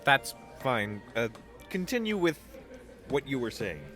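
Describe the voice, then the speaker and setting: A man's voice: mid-range voice, big pitch swings, unhurried, a bit bright, soft background music. A man playing an anxious, polite young leader of a space biker squad in an audio drama, hesitant and nervously rambling. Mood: mild, firm.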